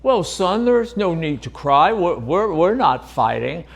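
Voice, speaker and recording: A man voicing a mischievous, excitable father who gets loud and stammers when flustered. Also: fairly high pitch, unhurried, gravelly, exaggerated voice. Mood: reassuring. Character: easygoing Greek-American father, mischievous, excitable.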